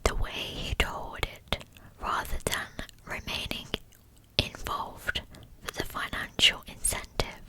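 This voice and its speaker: A woman narrating a scripted story as ASMR in a breathy, unvoiced whisper, close to the microphone, in short deliberate phrases. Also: rough recording. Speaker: ASMR true crime storyteller.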